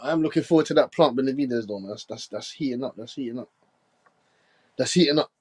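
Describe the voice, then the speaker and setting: A young man in his twenties who rattles off his boxing opinions at a quick clip, pressing each point with a "you get me". His voice sits at an ordinary middle pitch and sounds dry and close, with no room around it. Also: a little mellow, slightly rough, no echo. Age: 20s. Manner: quick, argumentative, keeps tagging "you get me". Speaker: boxing podcast co-host. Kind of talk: casual chat.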